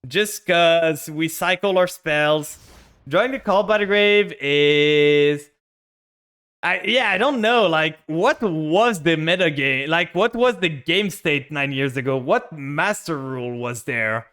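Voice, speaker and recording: A man's voice: fairly high pitch, takes it slowly, a bit bright, clear, resonant tone. A man with a French-Canadian accent, streaming a card game and talking his moves through with chat. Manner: thinks aloud, narrating each play to chat. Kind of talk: casual game stream commentary.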